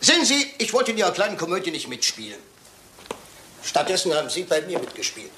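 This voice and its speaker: An actor playing a shrewd, self-assured detective in a German radio crime drama, with a sly, theatrical manner. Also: male voice, fairly high pitch, wildly swooping pitch, a little fast, noisy background. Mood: triumphant. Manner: theatrical, sly, teasing, with occasional French phrases.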